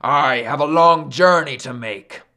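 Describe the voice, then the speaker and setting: A haughty, powerful sun god from a storybook, acted out by a man. He speaks slowly and commandingly, with a blustering roar and great self-importance. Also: a little high, bright, forceful tone. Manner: roaring, commanding, drawn-out delivery. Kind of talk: storybook character acting.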